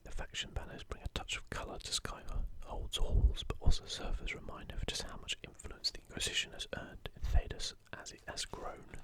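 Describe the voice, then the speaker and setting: A man's voice: brisk, hardly any pauses. Man whispering ASMR commentary. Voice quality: breathy, unvoiced whisper. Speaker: ASMR video host.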